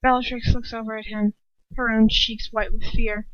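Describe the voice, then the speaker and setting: A woman's voice: on the high side, flat delivery, moderate pace, a bit bright, dry recording, muffled recording. A young woman reading fan fiction aloud informally, breaking off with sweary, irreverent asides and corrections of her own slips. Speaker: amateur fan fiction reader.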